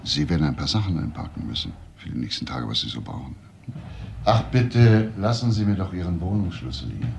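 Low-voiced probing detective. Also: male voice, big pitch swings, fairly quick, vocal fry, a little mellow, slight background noise, full character voice. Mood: calm, considerate. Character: male police detective, probing, insistent yet considerate. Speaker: actor in a crime radio play. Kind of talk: German crime radio drama acting.

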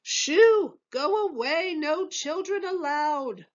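A flustered, bossy headwaiter from a storybook, acted out by a woman. His voice swoops up high as he fusses and exclaims, stretching his words. The sound is a little dull and lo-fi. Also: expressive. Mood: scolding. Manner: fussy, exclaiming, shooing in drawn-out words.

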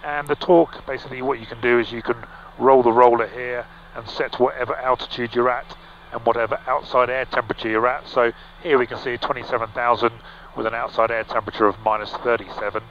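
A middle-aged male pilot talks through his instruments in a relaxed, explanatory way, pausing with ums and restarting sentences. His voice sits at an ordinary pitch and comes through a headset mic that sounds a little dull. A faint hum of engine noise stays underneath. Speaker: pilot and vlog host. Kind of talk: casual cockpit walkthrough. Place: small aircraft cockpit in flight. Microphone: headset boom mic.

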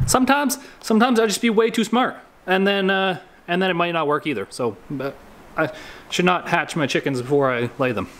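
A man's voice: sits high, fairly quick, a bit bright, slight background noise. A Canadian man in his thirties talking in a jokey, slangy way as he hosts a casual workshop vlog from a garage. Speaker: vlog host and fabricator.

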